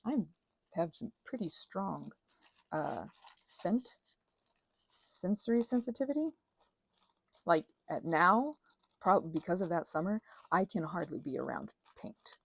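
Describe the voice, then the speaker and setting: A woman's voice: fairly low pitch, darkish tone. A woman in a casual, rambling chat, telling stories in a meandering, self-deprecating way with little acted-out asides. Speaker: host of a crafting ramble and ASMR channel.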